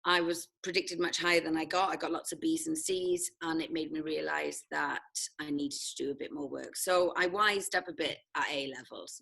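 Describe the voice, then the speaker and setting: A woman's voice: low voice, gravelly, fairly bright tone. A Welsh woman from South Wales, chatting as an interview guest about her school days, laughing often and poking fun at herself. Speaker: head of sixth form, interview guest. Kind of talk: casual interview chat.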